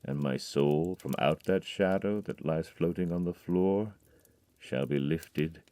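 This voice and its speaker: A man voice acting a grieving, haunted man in an audio drama, reading dramatic verse that swings from hushed brooding to loud, pleading outbursts. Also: deep voice, slow.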